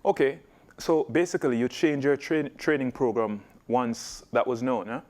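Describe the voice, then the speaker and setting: A man's voice: mid-range voice, normal speed, darkish tone. A man hosting a sports interview show, asking questions in a genial way and using local sayings. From Jamaica.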